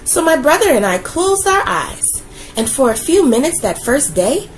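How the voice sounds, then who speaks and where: A woman reading a children's picture book aloud in a gentle, expressive, soothing way. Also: high-pitched, moderate pace, soft background music, low-quality recording. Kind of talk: read-aloud storytelling.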